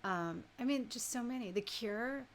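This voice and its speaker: A woman radio host with a fairly high voice talks casually to her listeners. She is conversational and keeps posing questions and little tag checks as she goes. There is noticeable noise in the recording. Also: normal speed. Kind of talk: casual music chat to live listeners.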